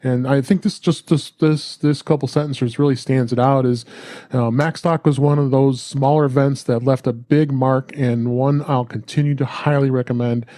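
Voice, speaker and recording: A man on a panel, speaking enthusiastically but haltingly, with many restarts and fillers. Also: mid-range voice, steady tone, mellow, no echo. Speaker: conference attendee, panel guest. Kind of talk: casual panel chat.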